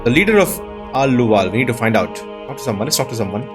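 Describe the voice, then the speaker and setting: A man plays a game and talks through it casually, thinking out loud as he goes. His voice sits at an ordinary pitch, with game music playing underneath. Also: very animated, fast talker. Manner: musing aloud, exclaiming at sights. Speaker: let's player and show host.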